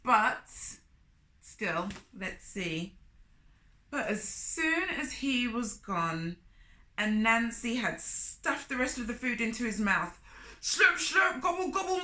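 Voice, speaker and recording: Animated, bright-voiced woman telling children's stories. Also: fairly high pitch. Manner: asks the listeners questions, comments on characters. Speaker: children's storyteller. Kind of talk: expressive story reading with asides to young listeners. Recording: echoey room.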